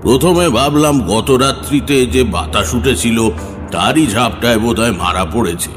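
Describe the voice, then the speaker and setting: A man playing a troubled, courteous middle-aged gentleman in an audio horror story, speaking hesitantly. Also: medium pitch, lively intonation, a little slow, big-room sound, lightly acted. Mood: uneasy, puzzled. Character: troubled gentleman householder, father, polite and uneasy. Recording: music bed behind the voice.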